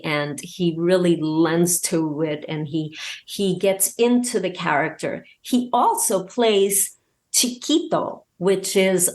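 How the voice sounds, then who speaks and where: A woman talking casually as an interview guest, hesitant and wandering as she searches for words. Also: lowish voice. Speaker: podcast guest and voice actor.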